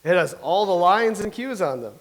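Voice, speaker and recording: A man's voice: sits high, expressive, normal speed. A man playing a wry playwright. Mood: dry. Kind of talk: staged play reading.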